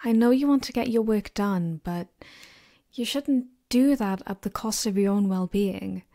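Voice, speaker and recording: A young woman playing a caring, gently teasing girlfriend in an intimate ASMR roleplay, with a soft, breathy, reassuring delivery close to the mic. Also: medium pitch, unhurried, lightly acted. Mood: tender, reassuring. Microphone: close binaural microphone.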